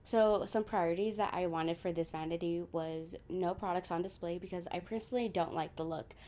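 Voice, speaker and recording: A woman's voice: fairly low pitch, steady tone, brisk, warm tone. A young woman chatting casually to her viewers as she gives a room tour, talking to them directly. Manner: chatty, addresses viewers as you guys. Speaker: lifestyle vlogger.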